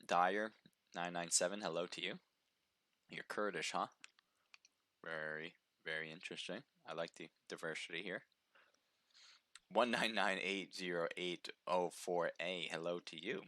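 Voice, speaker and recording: A man giving chatty play-by-play commentary on a live mobile game match, his voice slightly hoarse from a sore throat. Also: deep voice, steady tone, measured pace, pauses often, sharp tone, no room sound. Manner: chatty, upbeat, reads viewer names aloud.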